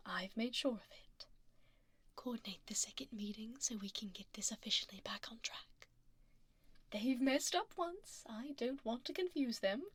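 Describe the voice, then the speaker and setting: A woman playing a powerful, composed dragon executive in an ASMR roleplay, speaking softly and breathily up close with a poised, gently commanding manner. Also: a little high, normal speed, husky. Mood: firm, businesslike. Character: powerful composed female dragon executive boss, teasing, exacting. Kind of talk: soft-spoken ASMR roleplay. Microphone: close binaural microphone. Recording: dry close binaural studio recording.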